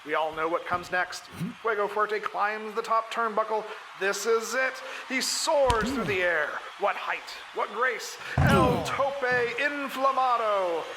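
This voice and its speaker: A high-voiced, excitable wrestling commentator in an audio drama. He calls the fight in emphatic, dramatic bursts, with clearly noisy arena ambience behind him. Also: takes it slowly. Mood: excited. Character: excitable male lucha libre ringside commentator cheering the champion.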